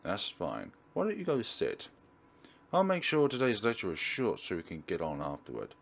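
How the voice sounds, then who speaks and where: A man's voice: lowish voice, wildly swooping pitch, moderate pace, vocal fry, heavily acted. A man voicing a predatory, controlling male school teacher from a visual novel, with an insinuating, menacing manner. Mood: falsely friendly. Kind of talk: dramatic visual novel reading.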